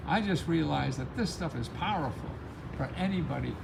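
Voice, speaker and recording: A man's voice: a little high, lively intonation, normal speed, creaky, noisy background. A man hosting an advice channel in a casual, rambling, motivational style, talking emphatically and readily amused by his own points. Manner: emphatic, chuckles often at own remarks. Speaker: host of a grant-help advice channel.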